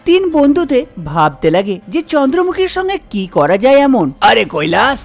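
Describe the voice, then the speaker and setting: A woman's voice: high-pitched, big pitch swings, fairly quick, a little mellow, soft background music. A woman narrating a scripted cartoon folk tale. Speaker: storyteller, narrator.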